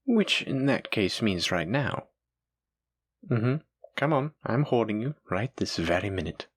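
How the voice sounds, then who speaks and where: A man playing a doting, gently possessive dragon-blooded librarian, speaking tenderly and coaxingly to his sweetheart in an intimate audio roleplay. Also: fairly low pitch, very animated, unhurried, husky, dry recording, heavily acted. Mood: tender, reassuring, then playful, coaxing. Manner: tender, coaxing, affectionate, reassuring. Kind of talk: intimate scripted audio roleplay addressed to the listener.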